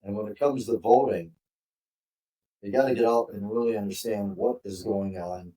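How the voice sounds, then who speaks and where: A man's voice: lowish voice, normal speed, warm tone, far from the mic, low-quality recording. A man co-hosting a podcast who states his political views bluntly and urges listeners to act. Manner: blunt, emphatic, hortatory. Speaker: podcast co-host. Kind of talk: casual political discussion.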